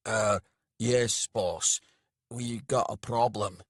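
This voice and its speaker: A man voicing a surly, blunt servant who talks slowly and gruffly. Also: fairly low pitch, fairly even intonation, dry recording, studio quality. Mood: wary. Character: surly working-class male servant, blunt and menacing.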